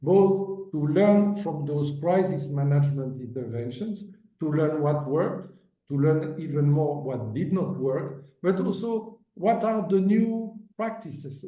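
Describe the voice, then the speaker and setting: A man giving formal conference remarks as an agency director, halting with many fillers and restarts, speaking as an over remote call audio. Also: on the high side. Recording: dull roomy audio from a distance.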